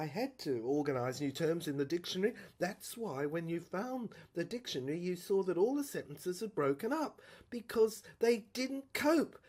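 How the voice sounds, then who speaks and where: A woman playing a troubled, introspective character in an audio drama monologue, halting, repeating herself and searching for words, with sudden hushed drops. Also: medium pitch, slightly rough. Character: troubled introspective woman obsessively recounting her inner mental breakdown. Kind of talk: dramatic first-person monologue for a serial audio play.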